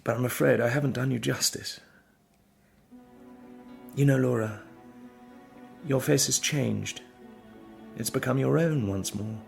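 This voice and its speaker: An actor playing an earnest, determined young drawing master in an audio drama, speaking in a measured, formal, husky way. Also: male voice, medium pitch, darkish tone, soft background music. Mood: tender. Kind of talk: audio drama acting and narration.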